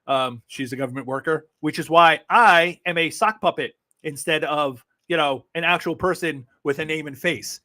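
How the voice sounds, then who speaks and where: New York man, livestream host; blunt, sarcastic, swears freely, slightly high pitch. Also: moderate pace, few pauses, bright, forceful tone. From Brooklyn, New York. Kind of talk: casual live-stream chat.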